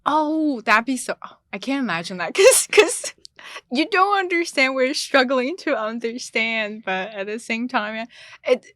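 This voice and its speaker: A woman's voice: on the high side, animated, moderate pace, a bit bright, dry recording, studio quality. A young woman from China with a slight Chinese accent, a native Chinese speaker chatting casually as a podcast guest, laughing often and restarting her phrases. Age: young adult. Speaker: guest, international student. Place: small studio.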